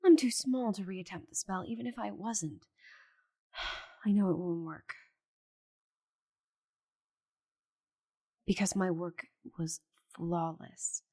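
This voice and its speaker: A woman voicing a proud, stubborn, bitter female dragon in a dramatic audio roleplay, with a breathy voice and haughty, sighing delivery. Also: fairly low pitch, steady tone, unhurried, warm tone. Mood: despairing. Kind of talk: scripted audio roleplay monologue.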